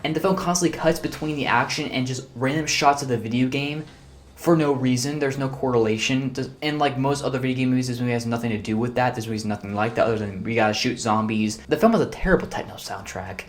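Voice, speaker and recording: A man's voice: medium pitch, fast. Exasperated young man ranting. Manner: exasperated, blunt, rambling with fillers. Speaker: video reviewer. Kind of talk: casual film review rant.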